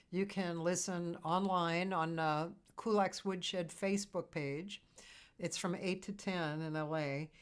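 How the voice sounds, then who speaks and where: Lady hosting a casual chat show, kinda low voice, really smooth and clear. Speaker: host. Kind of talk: relaxed, casual interview chat.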